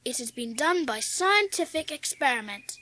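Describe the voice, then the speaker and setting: A woman's voice: high-pitched, lively intonation, moderate pace, sharp tone. A woman playing an imperious, determined young invalid boy in a dramatised audiobook, speaking in earnest declarations. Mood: commanding, earnest.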